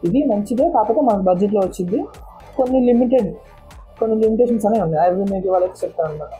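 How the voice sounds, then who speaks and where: A woman's voice: medium pitch, racing, dark tone, faint music behind. A woman vlogger giving a casual, step-by-step product walkthrough, often repeating words as she explains. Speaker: motorcycle vlogger and product reviewer.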